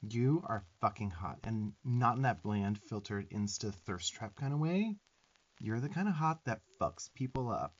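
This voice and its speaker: A man's voice: lowish voice, expressive, measured pace, highs cut off. A man voicing a sarcastic, bossy but affectionate little demon twink in a profane, emphatic ASMR roleplay. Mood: fierce affection. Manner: sassy, scolding, profane, emphatic.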